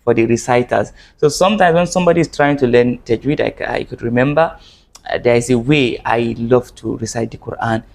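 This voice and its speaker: A man's voice: medium pitch, brisk, gravelly, darkish tone. A man from Nigeria, teaching an Arabic lesson to camera in an earnest way, often repeating and restarting his phrases.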